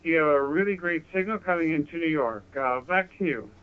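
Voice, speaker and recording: A man with an American accent talking over amateur radio in a polite, measured, conversational way. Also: a little high, lively intonation, clean tone. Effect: radio transmission. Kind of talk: ham radio contact, conversational. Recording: narrow, phone-like handheld radio audio.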